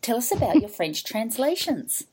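An Australian woman hosting a podcast, chatting with a guest in a lively, enthusiastic way. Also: fairly high pitch, moderate pace, husky. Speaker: podcast host. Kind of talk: casual interview chat.

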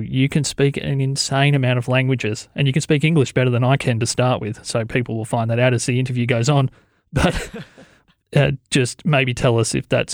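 A man's voice: medium pitch, no room sound, clean studio sound. A man hosting a podcast, chatting enthusiastically and laughing easily as he introduces his guest. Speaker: podcast host. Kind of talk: casual podcast intro and interview.

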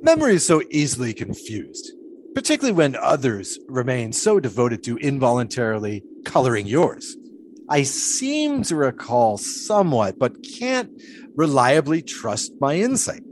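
An actor playing an eccentric, philosophical 1920s daredevil in an audio drama, serenely cryptic and teasing, with ornate phrasing. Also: male voice, mid-range voice, wildly swooping pitch, moderate pace, slight background noise. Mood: haunted, cryptic.

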